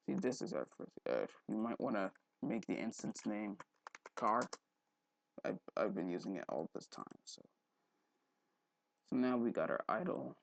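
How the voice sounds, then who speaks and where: Young male tutorial host, creaky fry, lively intonation, rambling and off-the-cuff delivery. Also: lowish voice, normal speed, long pauses, dry recording. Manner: rambling, thinking aloud, frequent throat clearing. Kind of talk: casual screen-recorded software tutorial. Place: indoors at a computer, voice-over for screen recording.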